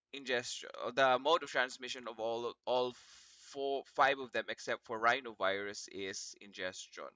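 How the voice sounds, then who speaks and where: Male lecturer, Filipino accent, bright and clear with slight morning froggy edge, informal, encouraging, repeats himself. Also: medium pitch, no echo, highs cut off. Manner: encouraging, repeats himself, asks students questions. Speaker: virology lecturer. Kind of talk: informal online lecture to students.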